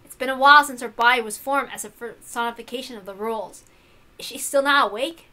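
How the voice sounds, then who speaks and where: A woman playing an excitable, confident young man in a visual novel, with an eager, informal, emphatic way of talking. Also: very high-pitched, fast, full character voice. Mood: curious, hopeful. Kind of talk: visual novel character reading.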